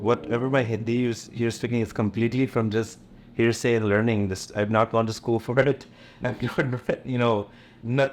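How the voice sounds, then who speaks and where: A man's voice: mid-range voice, a little hiss or hum. A middle-aged man raised in the USA, a Gujarati native speaker and event promoter, chatting in an interview and switching between English and Hindi with easy fillers. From USA, born in India. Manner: relaxed, mixes English with Hindi, frequent fillers and light laughs. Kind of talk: casual interview conversation.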